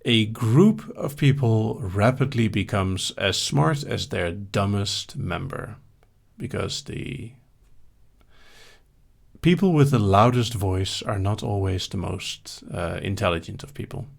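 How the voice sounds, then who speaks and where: A man answers questions from a live chat in a calm, measured way, with dry humor. His voice sits low and has a gravelly edge. His pitch moves around a lot as he talks. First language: Dutch.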